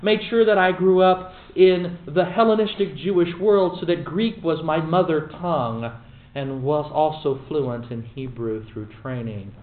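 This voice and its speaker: A man teaching a Bible study in a lively, emphatic way with wry asides. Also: on the high side. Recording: echoey room over a tinny phone-like line.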